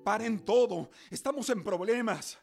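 Gravelly, indignant older father. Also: sits high, measured pace, loud music behind the voice. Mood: alarmed, outraged. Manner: blustering, indignant. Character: angry, alarmed older royalist father. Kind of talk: radio drama acting.

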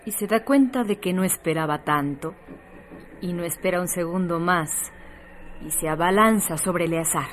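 An actress narrating a radio drama as an intent, dramatic storyteller. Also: female voice, lowish voice, normal speed, a little hiss or hum, close to an everyday voice. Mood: intent suspense.

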